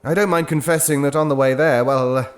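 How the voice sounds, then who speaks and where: An actor with a British accent playing a hesitant, reassuring gentleman who tells an eerie tale in an audio drama, halting and conversational. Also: on the high side, steady tone, smooth, no echo, lightly acted. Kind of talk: audio drama ghost story narration.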